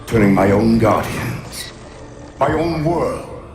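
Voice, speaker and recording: An actor playing an ancient, vengeful alien warlord in a video game, speaking slowly with grand contempt in a deep, gravelly voice. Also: male voice, lively intonation. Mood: menacing. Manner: slow, grandiose, disdainful speech. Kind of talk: video game cutscene acting. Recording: echoey, distant, loud music behind.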